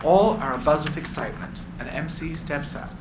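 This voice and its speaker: A man reading screenplay stage directions as narrator, with deliberate descriptive phrasing. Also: on the high side. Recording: echoey room, clear background noise.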